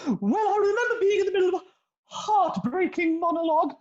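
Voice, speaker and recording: A woman's voice: extremely high pitch, a little fast, darkish tone, lo-fi sound. A woman playing a vain, melodramatic actress testifying in a comic courtroom play, haughty and self-dramatising.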